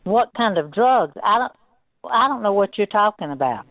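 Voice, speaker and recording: A woman's voice: medium pitch, animated, normal speed, no echo. A plain-spoken, insistent woman making a customer complaint in a phone conversation. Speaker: customer calling about a refund. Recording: tinny, narrow telephone line.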